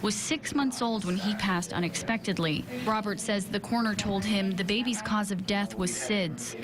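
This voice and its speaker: A woman's voice: mid-range voice, normal speed, a crowd in the background. A woman with an American accent delivering scripted broadcast news narration as a television reporter.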